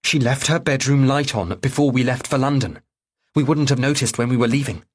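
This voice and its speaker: Guy doing a tense mom in a thriller audiobook, higher voice, urgent, pushy, determined. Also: brisk, lightly acted. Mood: urgent, brisk.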